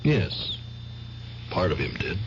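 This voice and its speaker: A man's voice: low-pitched, huge pitch swings, slow, full character voice. An actor playing a sober, hard-bitten man in a radio drama, grave and matter-of-fact. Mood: grave, consoling. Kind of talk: 1970s radio drama acting. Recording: dull vintage radio broadcast, clear noise.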